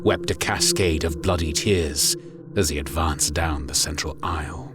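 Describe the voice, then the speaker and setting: A man giving a grave, measured, dramatic narration of a scripted story. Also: rumbling low. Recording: music under the voice. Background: music.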